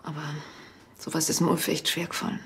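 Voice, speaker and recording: Low-voiced Bavarian butcher widow. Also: female voice, monotone, fairly quick. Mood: matter-of-fact. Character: defensive widowed village butcher woman, earthy and blunt. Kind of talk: naturalistic TV drama acting.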